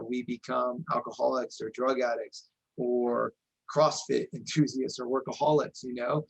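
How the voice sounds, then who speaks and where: A man's voice: mid-range voice, rough recording. A man in his forties, a guest coach, talking earnestly and reflectively about his own life in a podcast interview. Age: 40s. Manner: earnest, reflective, frequent "you know" and restarts. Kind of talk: candid personal interview talk.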